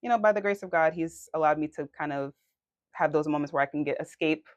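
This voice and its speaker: A woman's voice: low-pitched, fast, no echo. A young adult woman from Queens, co-hosting a podcast in an earnest, faith-filled way and laughing easily. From New York City, Queens. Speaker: podcast co-host. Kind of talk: casual chat.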